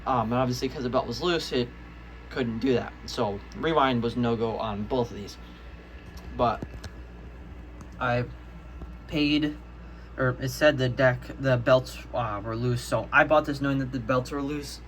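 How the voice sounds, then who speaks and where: A man's voice: mid-range voice, measured pace, pauses often, low-quality recording. A young adult man gives a casual, unscripted show-and-tell in a rambling, easily sidetracked way. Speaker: hobbyist video maker. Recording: noticeable background noise, amateur home video.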